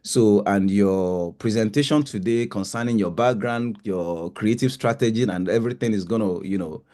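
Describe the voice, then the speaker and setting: A male lecturer introduces a guest to his class in a fairly low voice. He talks hesitantly and leans on "you know" and "uh" between phrases. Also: normal speed, mellow. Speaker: university lecturer.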